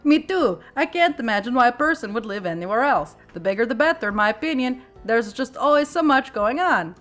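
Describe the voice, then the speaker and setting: A woman's voice: sits high, lively intonation, a little fast, fairly bright tone, faint music behind, voice pushed up. A woman voicing an eccentric mustached man with a peculiar put-on accent, blunt and boastful, talking about himself in the third person. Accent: peculiar put-on foreign accent.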